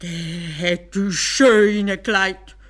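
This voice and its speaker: A woman voicing an excitable, emphatic male caliph turned stork in a children's fairy tale. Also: medium pitch, slow pace, lightly acted. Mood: indignant, lamenting. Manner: exclaiming, emphatic.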